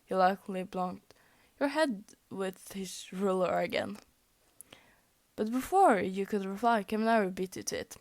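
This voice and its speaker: A woman's voice: medium pitch, unhurried, no echo. A young woman whose first language is Norwegian, reading fan fiction aloud, often tripping over words and laughing at her slips. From Norway. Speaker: fanfic reader.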